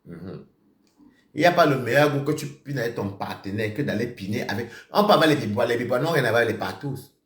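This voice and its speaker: Heated, animated man in an echoey room. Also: mid-range voice, fast talker. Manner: accusatory, repetitive, swings between shouting and muttering. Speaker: live-stream host. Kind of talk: heated live-stream rant.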